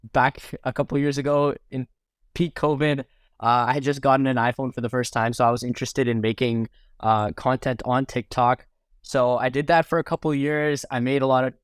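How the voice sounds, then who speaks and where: A man's voice: medium pitch, clear, resonant tone, dry recording, studio quality. A man in his twenties with a Canadian accent, chatting casually as a guest on a podcast. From Toronto area, Canada. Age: 20s. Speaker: podcast guest, food blogger. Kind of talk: casual interview chat.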